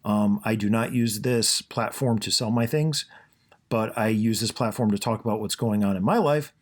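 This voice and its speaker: A man's voice: lowish voice, moderate pace. A man hosting his own podcast, talking casually and rambling, with a soothing voice and plenty of fillers and restarts. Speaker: podcast host. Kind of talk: casual solo podcast monologue.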